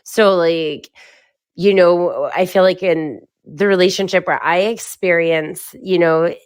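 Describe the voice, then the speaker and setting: A woman podcast co-host in casual chat, loud and animated, laughing often as she tells stories. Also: fairly low pitch, dry recording.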